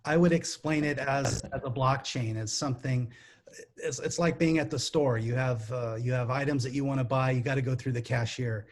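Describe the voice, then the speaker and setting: A man from California speaks at an average pitch in an easygoing way. He explains things plainly with everyday comparisons. He sounds like a panelist in a casual online chat. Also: normal speed. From California, United States. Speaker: non-technical stake pool member.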